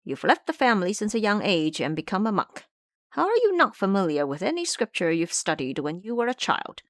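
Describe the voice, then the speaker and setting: A clever monkey monk acted out by a woman teaches his master in an audio drama. The delivery is emphatic and didactic, leaping up and down in pitch. The sound is dry and close. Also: wildly swooping pitch, fairly quick, a bit bright, no room sound. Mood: chiding. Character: clever, lecturing male monkey disciple monk.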